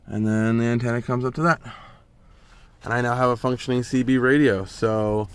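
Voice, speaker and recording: A man's voice: mid-range voice, fairly even intonation, normal speed, clean tone. A man giving a casual, plainspoken DIY walkthrough from inside a truck cab, grumbling mildly as he points things out. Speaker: vlogger showing a DIY truck install. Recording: handheld camera audio with faint vehicle noise.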